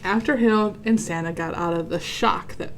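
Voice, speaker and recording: A woman reads a children's storybook aloud in a medium-pitched voice. She is animated and expressive, adding little asides about the pictures. There is a light layer of background noise on the recording. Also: normal speed. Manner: animated, explains and reacts to the pictures.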